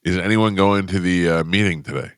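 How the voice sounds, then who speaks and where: A man's voice: low voice, brisk, clean tone, dry recording, studio quality. A middle-aged man hosting a morning radio show, bantering wryly while stuffed up and unable to breathe through his nose. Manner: wry, bantering. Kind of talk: casual morning radio chat. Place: radio studio.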